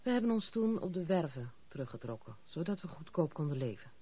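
A woman's voice: low voice, sing-song, brisk, husky, full character voice. Young woman in old radio play, blunt n wry, a bit disillusioned, tinny phone-like audio. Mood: disillusioned, confiding. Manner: direct, wry. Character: frank, disillusioned young granddaughter of a general. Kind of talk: radio drama acting. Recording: tinny, band-limited old radio recording.

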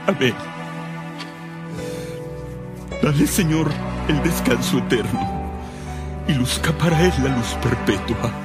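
A man's voice: mid-range voice, expressive, a little slow, lightly acted. Solemn grieving priest, played by a man, music behind. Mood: solemn, resigned. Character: solemn grieving priest at his dying brother's bedside. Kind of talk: Spanish-language radio drama acting.

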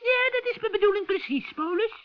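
A man voicing a scheming old witch in a children's radio play, shrill and cackling, with sugary, wheedling friendliness. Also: expressive, dry recording. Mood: sly, coaxing. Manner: wheedling, drawn-out coaxing. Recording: dull, dry vintage radio recording.

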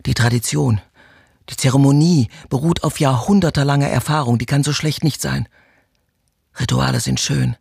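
Husky, haughty imperial matriarch. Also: female voice, sits low, a little mellow, dry recording, studio quality, heavily acted. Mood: scornful, commanding. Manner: imperious, formal, stern. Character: haughty imperial mother-in-law, stern guardian of dynastic tradition. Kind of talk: satirical radio play.

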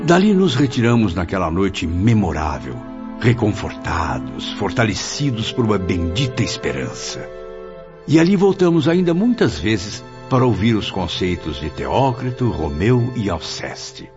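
A man's voice: lowish voice, sing-song, measured pace. A man acting a solemn spirit who narrates his memoirs in dramatic, scripted radio style. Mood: reflective, solemn. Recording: muffled audio under music.

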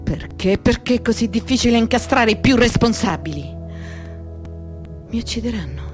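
A woman's voice: on the high side, expressive, normal speed, close to an everyday voice. An actress playing a defiant, anguished woman who informs on the mafia. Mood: bitter, resigned. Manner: intense, emotive, broken into short dramatic phrases. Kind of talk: dramatic radio theatre monologue. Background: music.

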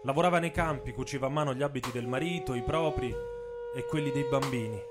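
A man delivers scripted dramatic storytelling for radio theatre in measured short phrases with emphatic stresses. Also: mid-range voice. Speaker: writer and narrator of a radio theatre piece. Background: saxophone and drums music.

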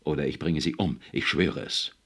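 A man's voice: a bass voice, normal speed, fairly bright tone, slightly rough, exaggerated voice. A man voicing a distraught historian, a stern husband barely keeping his composure, in an audiobook story. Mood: threatening.

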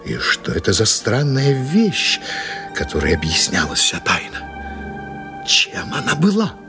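An actor playing a dreamy, imaginative writer in an audio drama, theatrically musing aloud with drawn-out pauses. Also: male voice, on the low side, huge pitch swings, heavily acted. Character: Anthony, dreamy imaginative male writer and collector. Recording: loud music under the voice.

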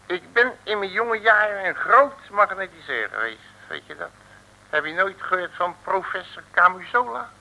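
An actor playing a cheeky, streetwise detective in an old radio serial, with a folksy, cajoling, colloquial way of talking. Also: male voice, high voice, animated, normal speed, bright, forceful tone, exaggerated voice, rough recording. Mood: cajoling, bragging. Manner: folksy, colloquial, cajoling, calls listener brother. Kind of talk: 1950s-60s radio serial acting.

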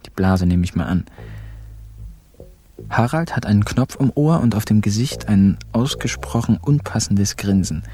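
An actor playing a laid-back, cheeky young man narrating a radio crime caper in deadpan slang. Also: on the low side, a little slow. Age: young adult. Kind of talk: first-person radio crime drama narration.

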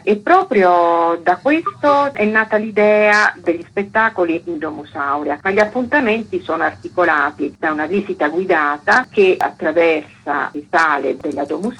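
A woman with a deep, husky voice speaks as a guest in a radio interview. She explains in an orderly, didactic way, moving through details item by item. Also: a bit bright, rough recording. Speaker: archaeological park director, interview guest.

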